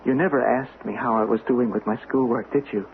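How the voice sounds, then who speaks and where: An actor playing a defensive, bitter young man accused of murder in a radio drama, pleading and halting. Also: medium pitch, fairly even intonation, creaky, natural voice. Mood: bitter, anguished. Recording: noisy, narrow-band vintage radio recording.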